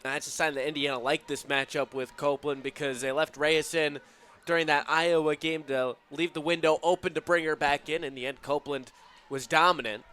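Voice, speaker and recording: A man's voice: fairly high pitch, steady tone, brisk, bright, forceful tone, clear, resonant tone. A man giving analytical sports commentary as a color commentator.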